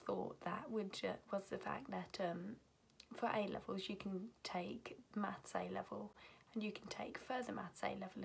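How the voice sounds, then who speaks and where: Raspy young woman, chatty and breathy. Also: mid-range voice, slight background noise. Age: young adult. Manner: chatty, self-deprecating, often half-whispered. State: raspy voice from being ill. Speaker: university student vlogger. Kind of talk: casual chat to camera. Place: bedroom at home, indoors.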